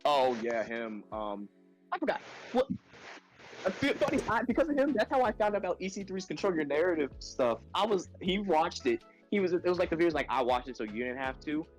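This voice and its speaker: A man's voice: a little high, very animated, brisk, faint music behind. Laid-back New York guy, lots of fillers. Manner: laid-back, filler-heavy, says "bro" a lot. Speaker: podcast co-host. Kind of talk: casual wrestling podcast chat.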